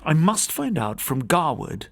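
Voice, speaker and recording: Man voicing an anxious, excitable university curator, swooping pitch. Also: measured pace, gravelly, slightly rough, full character voice. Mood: resolute. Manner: urgent questioning, donnish politeness. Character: Williams, anxious, excitable male university curator, intensely curious.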